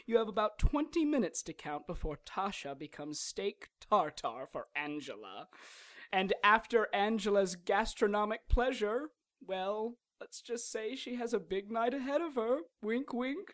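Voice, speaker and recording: A gloating villainess of radio drama, played by an actress, who taunts her enemy. She lingers slowly over her words with smug theatrical relish, her pitch sweeping widely. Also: expressive, lightly acted. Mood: mocking. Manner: taunting, theatrical, drawn-out delivery. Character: gloating villainess, smug imprisoned would-be ruler.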